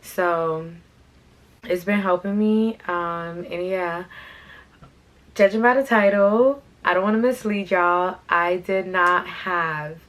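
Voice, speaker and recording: A young woman talks in a casual, slangy story-time ramble, full of "like" and "you know what I'm saying." Her voice sits a little low and carries a light rasp from being sick. She chats while doing her hair. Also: fairly even intonation, slow pace, fairly bright tone. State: slightly raspy from being sick. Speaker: fashion channel host.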